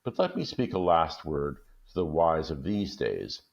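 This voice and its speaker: Brief: male story reader, very deep and gravelly, lively storytelling, dull lo-fi recording. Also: a little slow. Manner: expressive, lively. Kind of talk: storytelling narration.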